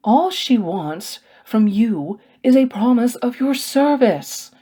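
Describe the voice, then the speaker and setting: An earnest, protective male scholar from a storybook , acted out by a woman reader. He admonishes slowly and with care. His worry shows in a stern, pleading manner. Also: on the high side, expressive, warm tone, husky, pitched above the performer's own voice, full character voice.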